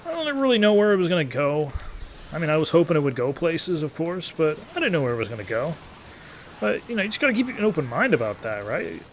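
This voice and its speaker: A man's voice: on the high side, big pitch swings, fast talker, slight background noise. A man in his thirties giving casual, rambling, self-deprecating live gameplay commentary, often wandering off on tangents. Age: 30s. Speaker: gaming video commentator.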